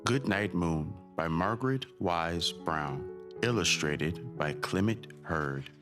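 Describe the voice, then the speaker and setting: A man reading a bedtime picture book to children in a sing-song way, pausing between short phrases. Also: low-pitched, animated. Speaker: children's read-aloud host. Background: music.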